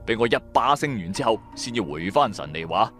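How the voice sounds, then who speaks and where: Wry, animated storytelling trader. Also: male voice, lowish voice, a little fast, soft background music. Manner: storytelling, colloquial, sardonic. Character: wry, shrewd male trader recounting his own supernatural adventures. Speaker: narrator and lead character. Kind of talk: first-person radio drama narration in colloquial Cantonese.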